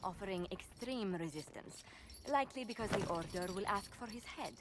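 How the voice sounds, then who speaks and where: A woman playing a formal, measured court advisor. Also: mid-range voice, animated, very noisy, close to an everyday voice. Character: formal female advisor presenting prisoners. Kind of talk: video game voice acting.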